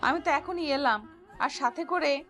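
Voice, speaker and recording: A woman acts out a young wife in love, high in pitch, playful and tender. She teases fondly in a romantic audio drama, with faint music behind her. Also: unhurried, a bit bright. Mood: teasing affection. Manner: playful, tender, mock-formal teasing.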